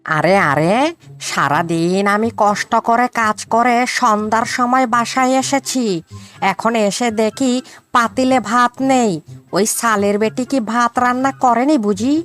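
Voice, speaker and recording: An aggrieved cartoon monkey husband, played by a woman, high in pitch. He grumbles and blusters in exclamations over faint music. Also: unhurried, fairly bright tone. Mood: angry, blustering. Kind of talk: cartoon voice acting. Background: background music.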